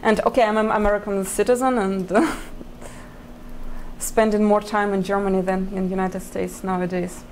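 A woman's voice: mid-range voice, flat delivery, a little mellow, slight background noise, poor audio. A woman in her thirties, a native Russian speaker who grew up in Ukraine, answering interview questions as a chess player in hesitant English. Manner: hesitant, halting, laughs often between phrases. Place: indoor press area before a sponsor backdrop. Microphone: desk microphone.

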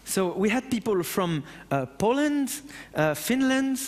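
A man's voice: sits high, very animated, unhurried, long reverb tail. Passionate French man on stage. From France. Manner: passionate, blunt, with casual swearing. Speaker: conference speaker. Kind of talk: Q&A after a talk. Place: large dark auditorium on stage.

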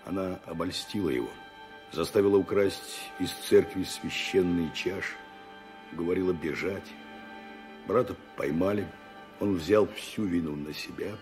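A grim executioner in a radio play, really low voice, heavy halting speech, music behind. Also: male voice, darkish tone. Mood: grim, sorrowful. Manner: solemn, halting, weighty. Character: vengeful, grim executioner mourning his brother. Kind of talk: 1990s Russian radio drama acting.

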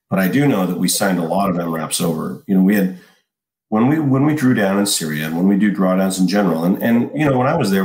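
An American man in his forties, an army officer giving measured, detailed explanations in an interview. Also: deep voice, darkish tone. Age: 40s. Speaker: army colonel, interview guest. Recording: roomy, echoing sound.

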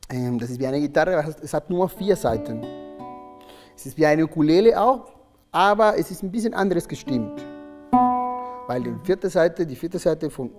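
A man's voice: fairly high pitch, expressive, normal speed, big-room sound, music in the background. A man in his thirties from Venezuela, a native Spanish speaker with a Spanish accent, explaining in a friendly, hesitant way with many fillers. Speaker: orchestral oboist, guest. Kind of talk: casual explaining. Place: stage set, indoors.

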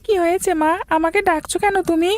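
A woman's voice: very high-pitched, steady tone, fast, a little mellow, dry recording. A woman voicing a playful, hungry little schoolboy, childlike and eager, in a cartoon story. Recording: background music bed.

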